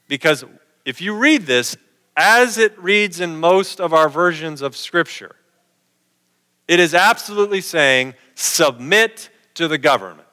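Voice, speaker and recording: A man's voice: fairly high pitch, pushed and bright, clean tone, in a large hall. A middle-aged man preaching a sermon in a conversational way, posing questions to his listeners.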